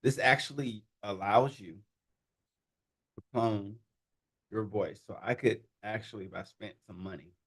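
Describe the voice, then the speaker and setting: A man in a casual conversation, demonstrating software in an animated, amused way and laughing often. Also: fairly low pitch, unhurried, long pauses, breathy edge. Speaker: guest, tech founder. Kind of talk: casual demo talk.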